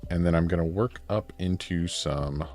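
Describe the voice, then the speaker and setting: A man chatting casually during a livestream while he paints miniatures, relaxed and rambling, often correcting himself. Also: low-pitched, normal speed.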